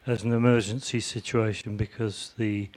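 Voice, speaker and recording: A man's voice: on the low side, flat delivery, normal speed, a little mellow. A man speaking up from the audience at a community meeting, hesitant with many fillers. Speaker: audience member, volunteer who built the website. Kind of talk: meeting discussion.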